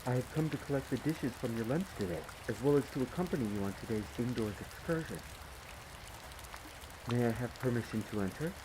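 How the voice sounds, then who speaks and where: A man voicing a courteous, devoted butler in an audio roleplay, speaking in a formal, polite and measured way straight to the listener. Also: medium pitch, natural voice. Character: courteous, devoted demi-human butler in a vampire's castle. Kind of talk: scripted roleplay addressed to the listener. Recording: rain noise behind the voice. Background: steady rain of a storm.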